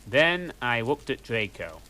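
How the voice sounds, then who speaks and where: A man's voice: medium pitch, very animated, slow, pushed and bright, poor audio. A man reading a story aloud for laughs at home, with dry, mocking asides between lines. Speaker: reader. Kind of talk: comic reading of a fanfic.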